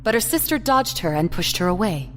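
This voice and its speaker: A woman's voice: medium pitch, sing-song, fairly quick, a little hiss or hum. A woman narrator reading a scripted story for an audio drama.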